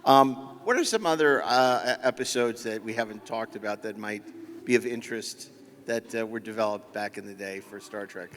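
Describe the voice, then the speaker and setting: A man's voice: fairly low pitch, normal speed, fairly bright tone. A man casually hosting a panel on a convention stage, recorded in an echoey hall with some background noise. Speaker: panel host.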